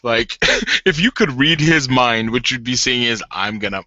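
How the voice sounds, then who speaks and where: A man casually narrating his character's reactions in a tabletop roleplaying game, improvising in a giggly, animated way. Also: medium pitch, unhurried, no echo, lo-fi sound.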